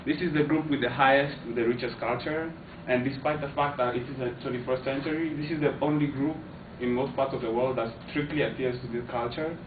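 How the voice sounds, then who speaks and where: A man's voice: medium pitch, steady tone, brisk. A man from Kenya giving an informative class speech, hesitant, with many fillers and restarts. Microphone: far camera. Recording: echoey, distant, tinny, noisy.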